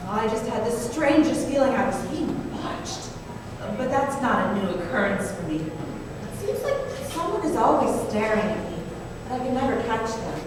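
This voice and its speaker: A woman's voice: a little high, very animated, normal speed. A woman playing a naive, anxious, wealthy young wife who confides hesitantly in an old-time radio drama. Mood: coy, embarrassed. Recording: noisy, echoey hall from far off.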